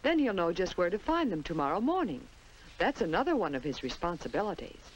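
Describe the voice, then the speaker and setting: A woman's voice: fairly low pitch, big pitch swings, brisk, lo-fi sound, slight background noise. An American woman narrating a 1950s children's instructional film in a simple storytelling manner, on an old film soundtrack. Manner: simple, storytelling delivery addressed to young children.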